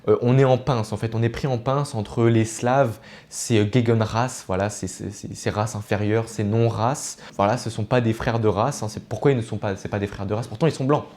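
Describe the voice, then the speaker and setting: A man with a fairly low voice speaks as an online video essayist. He explains things in an earnest, teacherly way, and his informal delivery wanders into asides and self-corrections. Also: steady tone, normal speed, a little mellow. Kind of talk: informal explanatory political commentary to viewers.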